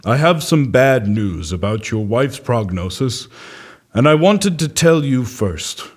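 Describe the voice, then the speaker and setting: A man playing a grave doctor in a comedy sketch, speaking in a solemn, deliberate, deadpan way. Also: medium pitch. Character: grave male doctor delivering absurd bad news. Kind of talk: comedy audio sketch acting.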